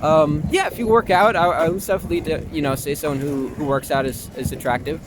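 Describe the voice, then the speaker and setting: A young American man talking casually and candidly as an interview guest, halting and informal, leaning on "like" and the odd swear word. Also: fairly high pitch, noisy background. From Long Island, New York, US. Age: young adult.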